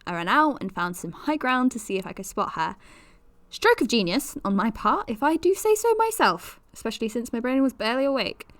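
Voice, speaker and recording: A woman's voice: on the high side, big pitch swings, a little fast, no room sound. An actress playing a young woman podcaster who talks in a confiding, nervous, self-deprecating way. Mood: anxious. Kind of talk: audio drama monologue.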